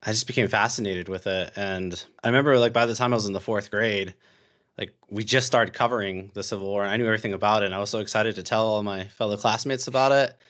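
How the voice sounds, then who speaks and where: Low-voiced American man rambling casually. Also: fairly even intonation, quick pace, fairly bright tone. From United States. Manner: rambling, many fillers and restarts. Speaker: podcast guest, history YouTuber. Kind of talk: casual podcast chat.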